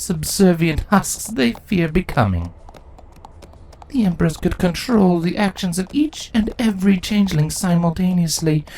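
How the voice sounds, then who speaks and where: Man voicing a haughty changeling queen, pitched up high. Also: a little mellow, low-quality recording. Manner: haughty, deliberate, grandly self-justifying. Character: ruthless, imperious female changeling insect queen.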